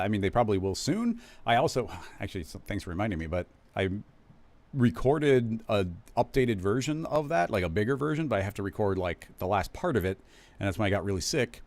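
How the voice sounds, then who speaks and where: A man hosting a live stream, casually talking viewers through a software tutorial, rambling and correcting himself as he goes. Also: mid-range voice, animated, a little fast, vocal fry. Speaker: live stream host teaching 3D animation software.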